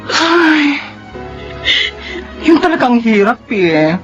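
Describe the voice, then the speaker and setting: An actress playing a supportive, gentle cousin in a Filipino radio drama, speaking earnestly and consolingly. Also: female voice, sits high, very animated, drawn-out words, highs cut off, soft background music, exaggerated voice. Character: supportive, gentle cousin breaking sad news.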